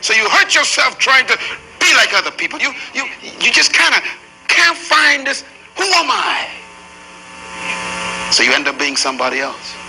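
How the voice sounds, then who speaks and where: Preacher guy going hard at a crowd, swoopy high voice, noisy live tape. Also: very animated, moderate pace, sharp tone. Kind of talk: sermon. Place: large room with an audience.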